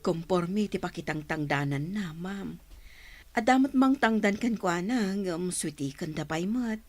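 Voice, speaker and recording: A woman's voice: lowish voice, animated, a little slow, soft background music. A woman playing a patient, hard-up village mother in an Ilocano radio drama, speaking with a Filipino accent. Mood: troubled, aggrieved.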